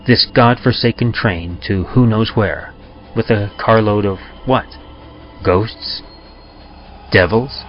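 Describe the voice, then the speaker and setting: Male horror narrator, low and unhurried, suspense-driven delivery, muffled recording. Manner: suspenseful, swells and drops for tension. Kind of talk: dramatic horror story reading. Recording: dull lo-fi recording with music.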